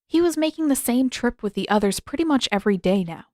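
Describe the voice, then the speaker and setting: A woman's voice: on the high side, animated, fast, warm tone, no echo, studio quality. A woman reading a story aloud as scripted narration. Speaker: podfic narrator and author.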